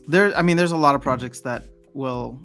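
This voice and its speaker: A man's voice: mid-range voice, normal speed. A man hosts a livestream in a loose, rambling way and often restarts his sentences. He talks over faint background music. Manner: relaxed, rambling, frequent restarts. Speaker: livestream host. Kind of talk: casual chat.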